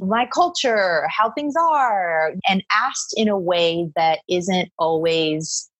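A woman hosting a conversation podcast, speaking in a measured, earnest way as she introduces her guests. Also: fairly low pitch, husky, no room sound. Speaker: podcast host.

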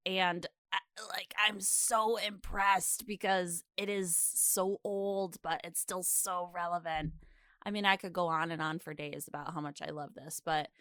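A woman's voice: lowish voice, fairly even intonation, a little slow, a bit bright, no room sound. A woman co-hosting a podcast in casual, effusive and emphatic conversation. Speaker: podcast co-host.

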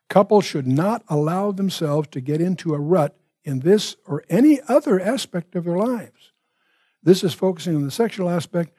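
An older man teaching the Bible, reading verses and explaining them in a measured way, restating his points as he goes. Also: fairly high pitch, dark tone, slightly rough, dry recording.

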